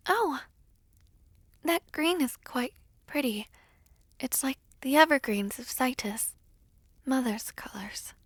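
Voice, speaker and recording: Woman playing a slow, high-voiced gentle princess. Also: expressive, dry recording, clean studio sound, heavily acted. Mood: gentle, modest. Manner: soft-spoken, hesitant, polite, formal diction. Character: gentle, modest young pregnant princess, formerly a maid. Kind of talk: audio roleplay acting.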